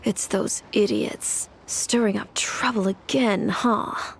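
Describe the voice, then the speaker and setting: A woman's voice: medium pitch, slow, creaky, slight background noise. A woman voicing a weary, tough female gang enforcer in a video game, with a dry, world-weary manner. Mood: exasperated.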